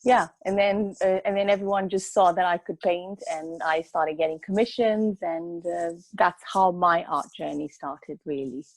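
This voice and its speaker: A woman's voice: lowish voice, normal speed, few pauses, dry recording, rough recording. A woman co-hosting an art chat show, talking casually with many hesitant fillers. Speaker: co-host and artist.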